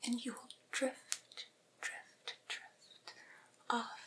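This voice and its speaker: A woman playing a devoted, patient vampire's familiar in a soothing ASMR roleplay, whispering and coaxing a cranky master with gentle reassurance. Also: on the high side. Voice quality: breathy, unvoiced whisper.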